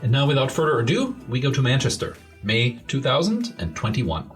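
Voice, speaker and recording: A man's voice: medium pitch, sing-song, brisk, soft background music. A man hosting a football podcast, earnest and slightly formal, reading his intro and asking questions. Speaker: podcast host. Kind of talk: scripted podcast intro and interview.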